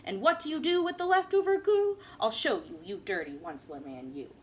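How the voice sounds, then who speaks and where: A woman's voice: fairly high pitch, expressive, brisk, a little hiss or hum, lightly acted. A woman voicing a grumpy small male forest creature, snapping and scolding.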